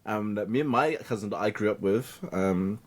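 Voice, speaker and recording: A man's voice: on the low side, normal speed. A man in his thirties, a native Cantonese speaker, telling his life story as an interview guest, often acting out the voices of other people. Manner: animated, acts out quoted voices of family and peers.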